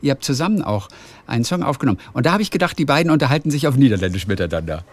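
A man's voice: medium pitch, big pitch swings, rapid-fire. A man interviewing a guest in a casual, friendly way, asking curious follow-up questions.

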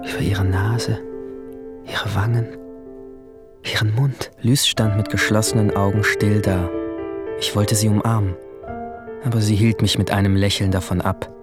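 A man's voice: on the low side, lightly acted. An actor narrating as a withdrawn, brooding 17-year-old boy in a radio drama, subdued and introspective. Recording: music behind the voice.

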